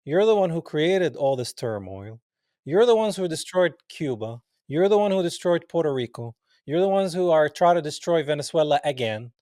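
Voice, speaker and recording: A man's voice: fairly high pitch, moderate pace, dry recording, studio quality. Man telling stories animatedly. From Palestine. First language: Arabic. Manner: animated, acts out quoted dialogue, laughs often. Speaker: guest. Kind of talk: casual interview storytelling.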